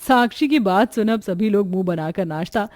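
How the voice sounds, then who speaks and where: A woman narrator reading a scripted cartoon family story in an expressive storytelling manner. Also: medium pitch, moderate pace.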